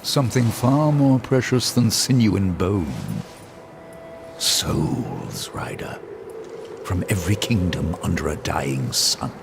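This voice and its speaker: An actor playing a sly, mocking scarecrow of a servant to a dead king, theatrical and gravelly, in a video game. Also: deep voice, slow pace, exaggerated voice. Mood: ominous. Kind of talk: dramatic video game cutscene acting. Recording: loud ambient noise behind the voice.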